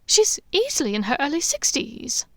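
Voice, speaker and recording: A bright, indignant young woman pitches up high and squeaks out her protests, as a woman narrator puts her on. The sound is dry and close to the mic. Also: normal speed, dry recording, full character voice. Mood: lively. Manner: high, lively, protesting with a laugh.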